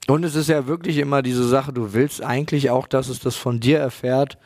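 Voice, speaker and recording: A man's voice: medium pitch, fairly even intonation, brisk, a little mellow, no room sound, clean studio sound. A man in casual banter, dropping wry, colloquial quips.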